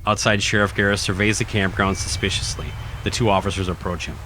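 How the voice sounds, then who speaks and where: A man reading scripted horror narration in a dramatic, deliberate storytelling manner. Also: lowish voice, level tone, sharp tone, slight background noise, rough recording. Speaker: narrator, podcast host.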